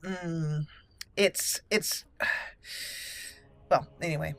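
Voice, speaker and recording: A woman's voice: deep voice, steady tone, extremely slow, fairly bright tone. A woman hosting a gaming channel, giving roleplay commentary and musing aloud about the story. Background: game music.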